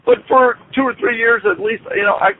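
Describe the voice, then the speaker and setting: A man's voice: extremely high pitch, fairly even intonation, a little fast. A man chatting casually as a guest on a phone call, thinking aloud in a conversational way. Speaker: guest, hobby club racer. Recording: noisy, tinny phone line.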